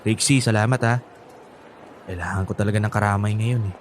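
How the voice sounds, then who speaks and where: A man's voice: lowish voice, steady tone, a little fast, a little hiss or hum, natural voice. A man playing a hurt, angry young son and boyfriend in a Filipino radio drama, stammering when upset. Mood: low, hurt.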